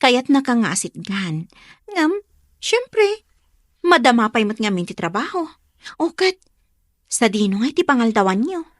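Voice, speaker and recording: A woman's voice: a little high, sing-song, measured pace, long pauses, no echo, studio quality. An actress with an Ilocano accent playing a teasing, meddling older woman in a radio drama. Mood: playful teasing.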